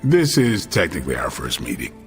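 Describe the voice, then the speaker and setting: A man playing a gruff, guarded warrior who is earnest about books, in a terse, dry video game cutscene style. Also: extremely low pitch, huge pitch swings, fairly quick, faint music behind, exaggerated voice. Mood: gruff, slightly defensive.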